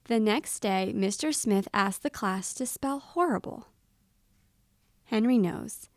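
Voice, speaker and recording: A woman reading a children's storybook aloud expressively, with deliberate, dramatic pauses. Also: mid-range voice, no room sound, studio quality. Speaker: storybook reader.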